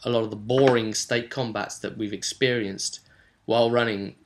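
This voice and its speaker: A man rambling through a vlog as a game master, animated and emphatic, repeating words for stress and slipping into imagined players' remarks. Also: fairly low pitch, normal speed, a little hiss or hum. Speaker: tabletop game master and vidcast host. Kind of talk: rambling vlog commentary on a roleplaying game session.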